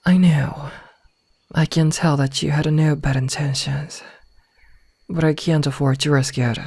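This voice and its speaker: A woman playing a possessive werewolf from a pack, smitten yet threatening, with deliberate, partly whispered delivery in an ASMR roleplay. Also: low-pitched, fairly even intonation, mellow, slightly rough, no echo, heavily acted. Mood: suspicious, stern. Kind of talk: ASMR roleplay audio drama. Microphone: close, dry microphone.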